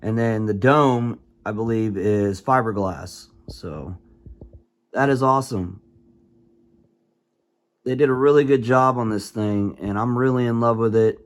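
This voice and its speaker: A man speaking casually and plainly to viewers as he hosts a hobby video walkthrough. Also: on the low side.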